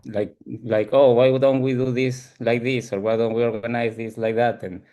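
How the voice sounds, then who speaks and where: A man from Peru with a Peruvian Spanish accent, giving reflective, halting explanations as an interview guest and often checking in with "right?". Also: mid-range voice, flat delivery, mellow. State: frequent throat clearing. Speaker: ADHD coach and support group founder, interview guest.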